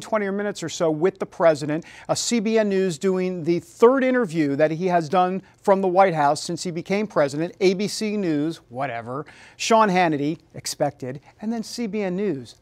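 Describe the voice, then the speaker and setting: A man hosting a TV news show, interviewing a guest and linking segments in a brisk, wry way. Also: sits high. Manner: brisk, wry, frequent soft affirmations.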